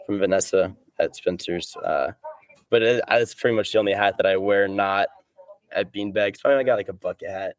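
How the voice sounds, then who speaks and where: A man's voice: low voice, moderate pace, no echo. A man chatting casually as a guest, easygoing and slangy, rambling as he goes. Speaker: interview guest, competitive cornhole player. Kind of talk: casual chat.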